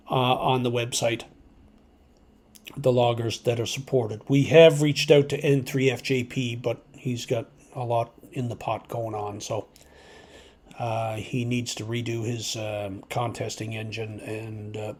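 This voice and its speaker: A man hosting a hobby radio video, explaining informally and unscripted in a hesitant, halting way, as if thinking aloud. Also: mid-range voice, darkish tone. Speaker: amateur radio video host.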